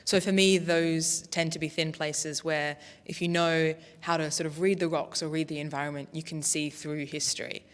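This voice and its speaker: A woman's voice: deep voice, little pitch movement, moderate pace, hardly any pauses. A woman panellist at a public talk, thoughtful and musing, often saying "sort of". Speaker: panel speaker. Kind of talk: panel discussion answers. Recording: long hall reverb.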